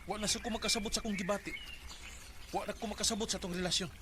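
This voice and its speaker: A man's voice: high voice, steady tone, fast talker, natural voice. A man with a Cebuano Filipino accent acting a weary, withdrawn, overworked boyfriend in a radio drama. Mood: troubled, withdrawn. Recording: very noisy radio drama recording.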